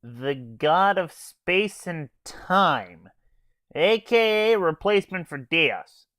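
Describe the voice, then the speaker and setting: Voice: mid-range voice, big pitch swings, slow, fairly bright tone, dry recording, studio quality. A reviewer hosting a scripted comic review, playing the exasperated straight man. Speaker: host, anime reviewer.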